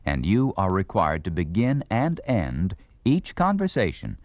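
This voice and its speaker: Male narrator, American, deep voice, formal and authoritative, dry 1970s record sound, slightly muffled. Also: huge pitch swings, a little slow, no room sound. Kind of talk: scripted instructional narration, 1970s.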